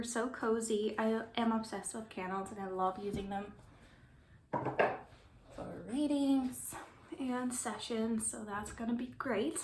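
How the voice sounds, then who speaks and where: A woman with a slight German accent, giving a casual oracle card reading in a gentle, meandering way that often trails off into soft whispers. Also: a little high. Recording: roomy with faint background noise.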